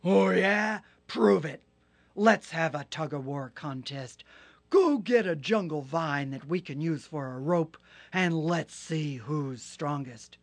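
A woman voicing a huge, bullying male hippopotamus who shouts orders. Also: expressive, slow, no echo, heavily acted. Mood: challenging. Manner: loud, bossy, booming.

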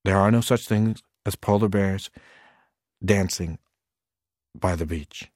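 Male narrator doing a firm, lowered dad voice, lecturing and impatient, dry close sound. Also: slow pace, darkish tone, no echo. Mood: stern. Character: stern, busy father of a young boy.

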